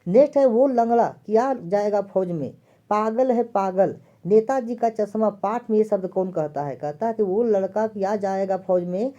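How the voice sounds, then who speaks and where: Woman, exam prep teacher, repeats every question and answer, very dark tone, dull recording. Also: mid-range voice, moderate pace. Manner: repeats each question and answer several times. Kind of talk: answer key walkthrough.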